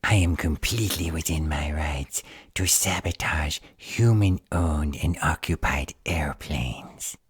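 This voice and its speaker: Fantasy audio drama gremlin: very deep, raspy and breathy, indignant schemer. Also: male voice, slow pace, hardly any pauses, dry recording, clean studio sound, full character voice. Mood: aggrieved, defiant. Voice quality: raspy, breathy half-whisper.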